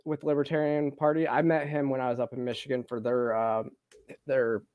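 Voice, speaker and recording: A man's voice: medium pitch, flat delivery, brisk, no room sound, phone-quality sound. A man hosting a casual political podcast chat, hesitant and full of ums.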